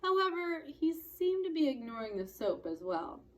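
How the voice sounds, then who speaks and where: A woman narrating a children's book expressively, with deliberate, dramatic phrasing. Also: high voice, very animated. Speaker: read-aloud storyteller.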